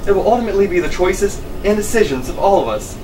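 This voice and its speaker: A man's voice: high-pitched, lively intonation, fast talker. A teenage boy giving a formal, reflective graduation speech at a podium on an indoor stage. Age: teens. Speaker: graduating student speaker. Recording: distant mic with air-conditioning hum.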